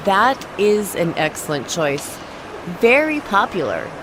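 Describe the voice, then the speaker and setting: A female shop clerk, played by a woman at a medium pitch over clear background noise. She is polite and helpful, serving customers in a toy store in a motion comic. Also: big pitch swings, slow. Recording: noisy ambient background.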